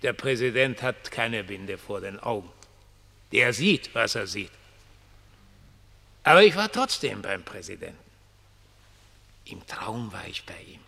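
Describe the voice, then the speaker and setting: An actor in a German radio play playing a rambling, irreverent homeless dreamer with a theatrical, drawn-out storytelling manner. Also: male voice, lowish voice, animated, creaky, sharp tone, full character voice. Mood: dreamy, storytelling. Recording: long reverberant hall sound.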